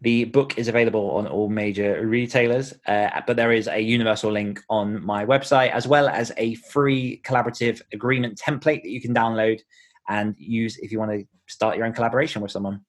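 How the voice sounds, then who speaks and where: A man's voice: fairly low pitch, steady tone, fairly quick. A man with a British accent in casual podcast chat, informal and jokey in the way he talks. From Britain. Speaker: podcast host and author, being interviewed on own show.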